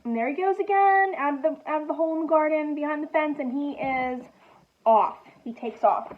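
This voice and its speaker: A woman teacher doing an expressive picture-book read-aloud for young children, commenting and asking prompting questions in an animated way. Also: high voice, a little fast, phone-quality sound, slight background noise. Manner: expressive, animated storytelling with gasps and exclamations.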